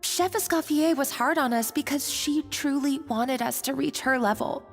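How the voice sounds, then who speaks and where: A flustered young cook. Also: female voice, sits high, normal speed, big-room sound, faint music behind. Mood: earnest. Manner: flustered, stammering, near tears. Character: nervous, apologetic young female cook. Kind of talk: video game voice acting.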